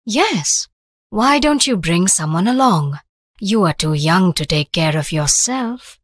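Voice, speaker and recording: A young storybook noblewoman voiced by a woman, happy and reassuring. Her voice is lilting and lively, taken at a gentle pace in a dry recording. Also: lowish voice, slow, dry recording. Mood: kindly, pleased. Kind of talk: children's storybook narration.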